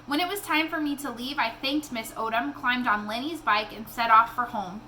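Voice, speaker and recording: A woman reading a children's novel aloud in an expressive storytelling style. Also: on the high side, moderate pace, a little hiss or hum.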